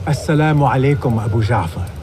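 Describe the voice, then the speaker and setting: An actor playing an eager, earnest young assassin apprentice who speaks respectfully. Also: male voice, medium pitch, expressive, fast, mellow, a little hiss or hum, close to an everyday voice.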